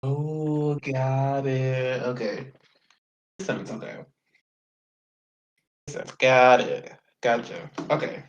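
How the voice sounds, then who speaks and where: A man chats as a podcast host, loose and rambling, dropping casual swears and acting out what other people might say. His voice comes through a muffled, lo-fi recording. He sits at a middle pitch for a man. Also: slow, pauses often. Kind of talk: casual interview chat. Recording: dull lo-fi sound.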